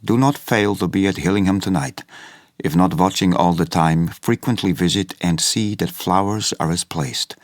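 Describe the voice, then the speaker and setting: An actor playing a grave, commanding older professor in a radio horror drama, speaking in an urgent, hushed, clipped way. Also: male voice, deep voice, normal speed.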